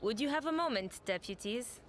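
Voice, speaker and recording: A woman's voice: on the high side, animated, a little hiss or hum, close to an everyday voice. Woman playing a formal, diplomatic mage envoy. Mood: formal, gracious. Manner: measured, diplomatic. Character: discreet, formal female envoy of a magic school. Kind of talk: scripted fantasy game cutscene acting.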